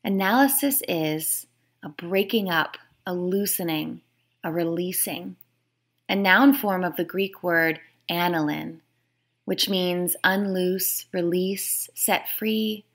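A woman author reading her nonfiction book aloud in a measured, explanatory way. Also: on the low side, creaky. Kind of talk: scripted read-aloud of nonfiction.

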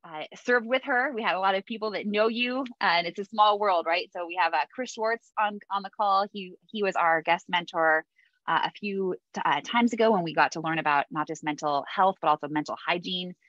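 Low-voiced woman hosting a video call. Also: a little fast, a bit bright, dry recording. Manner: chatty, many fillers, laughs easily. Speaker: host of a Navy mentoring video call. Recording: dull dry video-call audio.

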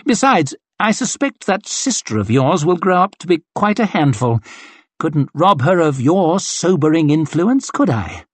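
A man's voice: a little high, big pitch swings, a little slow, no room sound, voice pushed up. A man putting on a sneering arrogant colonel. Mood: mocking. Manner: sneering, theatrical, cutting. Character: arrogant, mocking male cavalry colonel.

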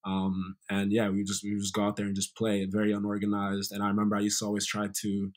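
A man's voice: lowish voice, quick pace. A young man from Sierra Leone with an American accent, telling stories as a guest in a casual interview over a video call. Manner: relaxed storytelling, many fillers. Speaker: guest, former college soccer player. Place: bright room indoors, video call.

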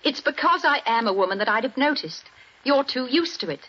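An actress playing a frightened young wife in a 1940s radio drama, pleading and breathless. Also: female voice, sits high, expressive, fairly quick, bright, forceful tone, a little hiss or hum. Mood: anxious. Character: frightened young wife telling detectives what she saw. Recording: dull 1940s radio broadcast.

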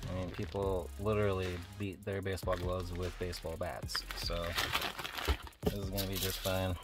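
A man with a notably low voice talks through a how-to vlog. He is casual and matter-of-fact, wandering a little as he explains. Music plays clearly behind his voice. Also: flat delivery, normal speed. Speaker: online reseller hosting a shipping vlog. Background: background music.

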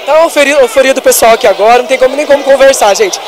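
A man's voice: very high-pitched, very fast, a crowd in the background. A young man, working as an event reporter with a handheld mic and interviewing families in a crowded festival hall. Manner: upbeat, raises his voice over the noise. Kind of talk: on-the-spot interviews.

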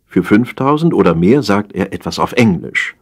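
A man narrating a humorous satire as an audiobook reader, in a wry, theatrical storytelling manner with drawn-out emphasis. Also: lowish voice, animated, breathy edge.

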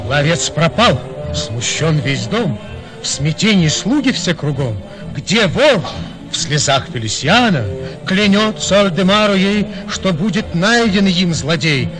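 An actor playing an animated storyteller, narrating a romantic radio comedy in dramatic verse. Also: male voice, high-pitched, measured pace, music in the background. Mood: excited.

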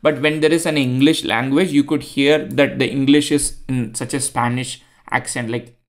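Indian-accented young man, casual screen-tutorial narration, even middle pitch. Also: moderate pace. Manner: chatty, many fillers and restarts. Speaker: tutorial host. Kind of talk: screen-recorded coding tutorial.

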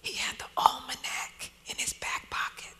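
A woman poet telling personal stories as a guest speaker, in a hushed, whispering delivery. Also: normal speed. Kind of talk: personal storytelling at a live talk.

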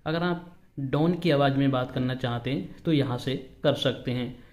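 A man's voice: medium pitch, normal speed, darkish tone. A man hosting an instructional app walkthrough, explaining each step repetitively. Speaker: tutorial host.

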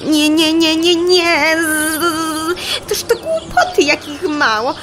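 A woman's voice: very high-pitched, animated, slow, music in the background, exaggerated voice. A woman voicing a dreamy little female fly who composes songs, earnest and flustered, in a children's audio play. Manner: earnest, flustered, self-critical.

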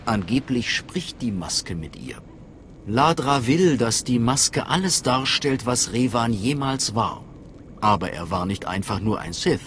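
An actor voicing a calm, sceptical scholarly steward of a cult in a video game, earnest and persuasive. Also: male voice, mid-range voice, measured pace, slight background noise. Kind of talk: dramatic video game dialogue. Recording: faint music behind voice.

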